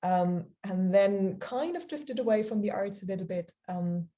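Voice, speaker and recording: A woman's voice: mid-range voice, unhurried, dark tone. A woman, answering interview questions in a hesitant, casual way with many ums and easy laughter. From Germany. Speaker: guest, charity founder.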